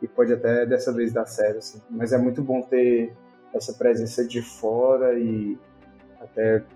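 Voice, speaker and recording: A man's voice: medium pitch, little pitch movement, unhurried, mellow. A man, musing on a podcast in a hedging way and trailing off with filler tags. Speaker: podcast guest. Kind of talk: casual chat. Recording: echoey room with faint music.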